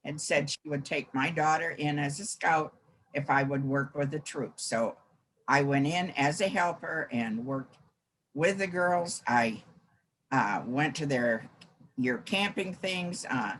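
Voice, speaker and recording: An 89-year-old American woman reminiscing in a group interview, with halting, deliberate phrasing. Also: low-pitched, a bit bright, a little hiss or hum, poor audio. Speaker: former scout troop helper.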